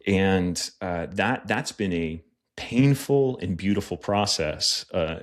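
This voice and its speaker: Male podcast guest, deep voice, earnest and thoughtful in delivery. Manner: earnest and reflective, many fillers. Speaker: guest, pastor and media producer. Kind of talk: casual podcast conversation.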